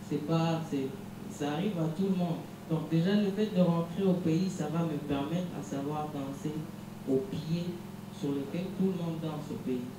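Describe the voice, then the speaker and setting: A young man from DR Congo, a musician, giving measured, reflective interview answers with frequent restarts. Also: fairly high pitch, steady tone. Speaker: musician guest. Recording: echoey room from far off, noisy hum.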